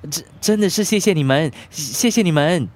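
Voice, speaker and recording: A man's voice: a little high, animated, brisk, natural voice. A man with a Singaporean accent playing an anxious, impulsive, remorseful young husband in a radio drama. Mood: worried, remorseful.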